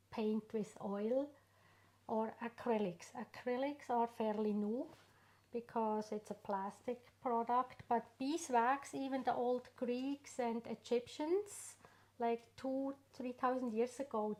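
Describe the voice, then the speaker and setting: Slow-talking Swiss woman telling her story. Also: fairly high pitch, steady tone. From Switzerland. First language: not English. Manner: unhurried, warm storytelling with frequent pauses and fillers. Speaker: artist guest. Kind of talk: casual interview.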